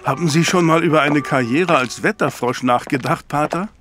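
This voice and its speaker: A man's voice: fairly high pitch, moderate pace, natural voice. An actor playing a curious, easily amazed male companion in a German audio drama. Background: music.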